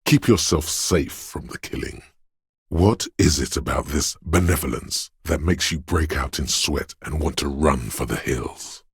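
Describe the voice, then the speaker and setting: A menacing, paranoid inner voice of raw survival instinct from a video game. It is extremely low and badly husky, goading the listener with profane, ominous warnings. The delivery is slow and deliberate. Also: male voice, no echo, studio quality, heavily acted. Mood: dark warning. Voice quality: deep, gravelly, husky rasp. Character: menacing, paranoid primal fight-or-flight instinct speaking inside a detective's head. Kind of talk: dramatic video game voice acting, second-person inner voice.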